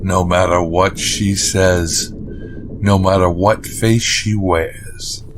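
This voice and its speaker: An actor playing a grave, calm occult mentor in a gothic audio drama, speaking low and steady with solemn warnings. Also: male voice, slow pace, background noise. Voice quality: low, hushed. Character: grave, calm male occult exorcist mentor, almost kind.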